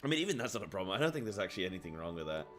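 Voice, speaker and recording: A man streaming from his apartment, chatting casually, thinking aloud and reading chat aloud. He has a deep, carrying voice and talks loudly by habit. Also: very animated, rapid-fire. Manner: loud by habit. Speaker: live streamer. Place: apartment room at home.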